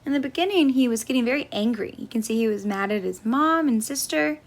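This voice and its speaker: A woman's voice: on the high side, expressive, brisk. A woman storyteller reading a picture book aloud for children, as a teacher would.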